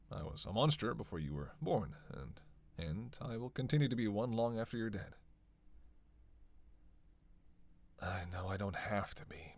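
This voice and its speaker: Man playing a sardonic low-voiced vampire. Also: vocal fry, no room sound, heavily acted. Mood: cold, taunting. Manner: sardonic, playful, conversational with sighs and pauses. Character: teasing, witty, bloodthirsty male vampire, centuries-worn cynic. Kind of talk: flirtatious dark roleplay monologue to one listener.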